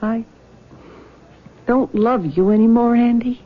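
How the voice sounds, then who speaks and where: An actress playing an earnest, conflicted young nurse and dutiful daughter in a radio drama, with a breathy voice. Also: female voice, a little high, slow pace. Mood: reluctant, sad. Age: young adult. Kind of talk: old-time radio drama acting. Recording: dull, lo-fi radio recording.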